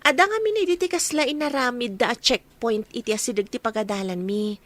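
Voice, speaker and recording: A woman's voice: on the high side, fairly quick, a bit bright, a little hiss or hum, lightly acted. A woman playing a female student in conversational Ilocano audio drama dialogue. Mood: reminiscing.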